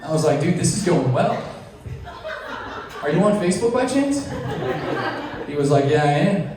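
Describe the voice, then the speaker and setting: A man's voice: on the high side, very animated, moderate pace, distant mic, background noise. A man performing a self-deprecating, wry stand-up set on a comedy club stage. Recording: echoey club audio from afar. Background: laughing crowd.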